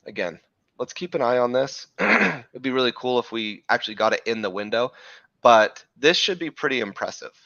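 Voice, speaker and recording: A man hosting a live crypto trading show, talking through chart analysis in a rambling way, correcting himself, with a slightly hoarse voice from losing it. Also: mid-range voice, expressive, moderate pace, sharp tone, dry recording, muffled recording. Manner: rambling, self-correcting, frequent "right?" checks.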